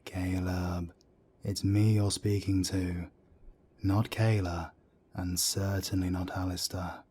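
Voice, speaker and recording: A man playing a calm, fatherly werewolf council elder who speaks softly and gently chides. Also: deep voice, slow, breathy edge, heavily acted. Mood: gently chiding. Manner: soft-spoken, gently chiding.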